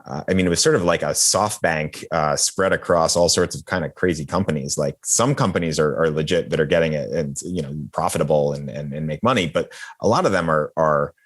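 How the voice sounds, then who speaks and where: A man with a very deep voice answers as a journalist guest. His explanations come in halting bursts, full of fillers and restarts. He talks at length in a casual way. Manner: hesitant, many fillers and restarts, chuckling.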